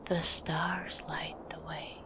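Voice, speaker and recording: An actress playing a mysterious, cold woman guide in an audio drama, speaking in a terse, cryptic, breathy whisper. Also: on the low side, level tone, slow, a bit bright. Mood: eerie, cold.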